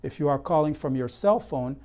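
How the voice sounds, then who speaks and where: A man delivering scripted instructional narration as an educational presenter, in a measured, methodical, explanatory way. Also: fairly high pitch, expressive, darkish tone. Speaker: presenter of real estate consumer education.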